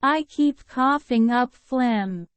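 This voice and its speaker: A woman reading English phrases in a measured way for learners to repeat. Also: on the high side, no echo. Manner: measured, clear drilling. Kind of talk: slow repeated phrase drills.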